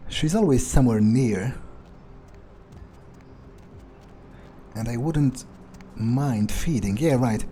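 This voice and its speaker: A man's voice: medium pitch, unhurried, mellow, slight background noise, natural voice. A man playing a cocky, crude vampire who relishes violence, with a hesitant, swearing drawl, in a roleplayed video game. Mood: self-satisfied.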